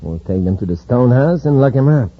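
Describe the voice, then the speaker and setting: A calculating villain who leads space thieves in an old radio serial. His voice is husky and mid-pitched, smug and commanding. The recording is muffled. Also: expressive, brisk. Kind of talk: 1950s radio drama acting.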